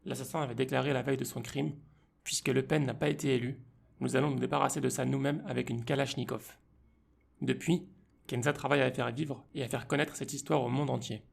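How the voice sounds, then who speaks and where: Male podcast host, very gravelly, husky, calm steady delivery. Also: mid-range voice, a little fast. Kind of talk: scripted intro then casual interview.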